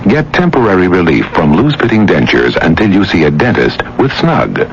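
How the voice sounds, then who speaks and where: A man's voice: low-pitched, sing-song, normal speed. A man announcing a scripted radio advertisement as a commercial announcer. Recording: dull, noisy old radio broadcast.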